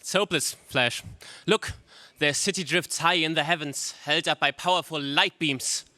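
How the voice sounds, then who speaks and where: An actor playing a gallant, fatalistic prince ally in a stagey 1930s space radio serial style. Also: male voice, fairly high pitch, normal speed, sharp tone, no room sound.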